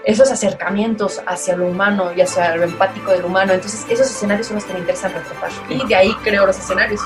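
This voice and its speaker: A Mexican woman talks loosely and openly as a guest on a radio interview, circling her thoughts with fillers and restarts. Her voice sits at an ordinary middle pitch. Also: steady tone, fast, music in the background. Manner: chatty, many fillers and restarts. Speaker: guest documentary filmmaker. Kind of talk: casual interview talk.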